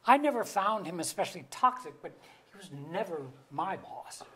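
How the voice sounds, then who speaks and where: A woman playing a curmudgeonly middle-aged parent with a deadpan, deliberate wit in a staged comedy reading. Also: fairly low pitch. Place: auditorium stage.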